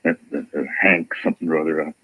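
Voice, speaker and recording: An actor playing an ashamed, defensive aging American rancher with a husky voice, halting and troubled, in a radio western. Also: male voice, very deep voice, fairly even intonation, no echo, narrow, tinny audio. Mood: resolved, agitated. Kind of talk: old-time radio western acting. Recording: dry old radio recording.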